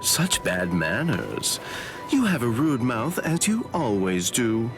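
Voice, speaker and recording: Sly anime game swordsman, super singsong n slow, fake friendly taunts. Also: medium pitch, huge pitch swings, heavily acted. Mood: mocking, playful. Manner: teasing, drawn-out, falsely polite. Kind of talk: anime game dub acting. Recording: prominent music behind voice.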